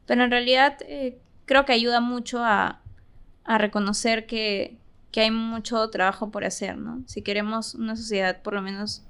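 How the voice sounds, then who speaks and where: A woman's voice: fairly high pitch, fairly bright tone. A Peruvian woman talking reflectively and colloquially in a podcast discussion, often checking in with short tag questions. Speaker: podcast guest.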